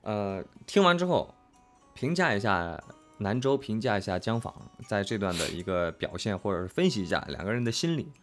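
Low-voiced man chatting with lively intonation. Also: a little fast, faint music behind. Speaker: livestream co-host and audio drama cast member. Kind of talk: casual promotional livestream chat.

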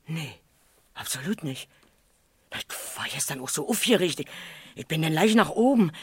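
A woman acting in a German radio crime drama as a chatty, excitable, nosy witness, speaking colloquially with a Berlin accent. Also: sits low, moderate pace, breathy edge, no room sound, heavily acted. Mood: baffled, flustered. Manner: colloquial, garrulous, self-important.

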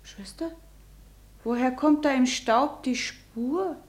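An actress playing an anxious, impulsive, devoted sister in wartime, speaking intense theatrical verse in a radio drama. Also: female voice, high voice, slow.

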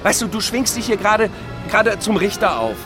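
A middle-aged man in a stage-like radio drama, whose voice sits high. He complains in an agitated, halting stammer. He sounds wounded and self-pitying, firing rhetorical questions. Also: very animated, over music. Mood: aggrieved, sarcastic. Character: aggrieved middle-aged man whining over lost privileges. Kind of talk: theatrical stage monologue.

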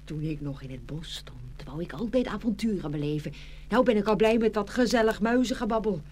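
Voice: mid-range voice, expressive, brisk, a little mellow, lightly acted. A performer voicing an eager, vain and easily frightened young fir tree in a children's fairy tale play, exclaiming plaintively. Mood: wistful. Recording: faint background noise.

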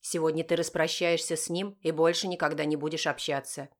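A woman's voice: low voice, level tone, brisk, lightly acted. A woman voicing a stern, wounded father, curt and firm, in an audiobook drama. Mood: stern, bitter. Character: stern, wounded, authoritative father of a teenage girl.

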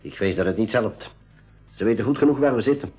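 A dull, slightly muffled eighties radio recording with music behind. A cautious, gloomy adventurer talks quickly in a husky voice, sounding shrewd and wary. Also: male voice, lowish voice, mellow. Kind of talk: 1980s school radio play acting.

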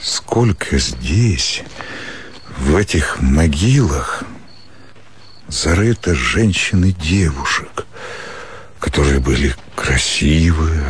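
An actor playing a weary, lovestruck country doctor who muses to himself in broken phrases in a radio play. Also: male voice, a bass voice, breathy edge, exaggerated voice. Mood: wistful musing. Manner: slow musing, broken phrases, talking to himself. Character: weary young country doctor in love, brooding and torn. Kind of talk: radio play inner monologue.

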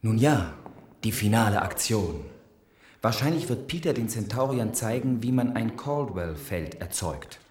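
Grim sci-fi spaceship man, dramatic n deliberate, lowish voice, echoey radio play. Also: expressive, a little mellow. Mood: grim, foreboding. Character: grim, knowing man narrating doom aboard a spaceship. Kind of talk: science-fiction radio play acting.

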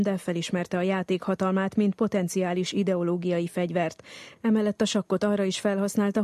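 A woman reading scripted documentary narration in an even, measured way. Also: medium pitch, no echo.

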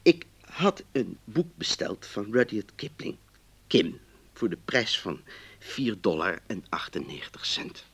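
A man's voice: medium pitch, vocal fry, a bit bright, husky, heavily acted. An actor in a radio play playing an exasperated but polite customer, earnestly and emphatically reading out his letter. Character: exasperated, polite male book-club customer writing letters.

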